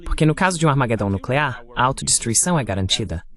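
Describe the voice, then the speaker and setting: A man with a medium-pitched voice speaks as an interview guest in a voice-over translation. He delivers political commentary in an explanatory interview format. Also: expressive, fast talker, a bit bright.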